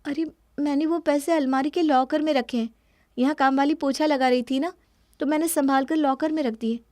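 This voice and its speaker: A woman voicing a gentle, devoted young daughter-in-law with self-respect in a cartoon family story. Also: high-pitched, steady tone, moderate pace, no room sound, studio quality, close to an everyday voice. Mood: hurt, firm. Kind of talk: Hindi cartoon moral-story voice acting.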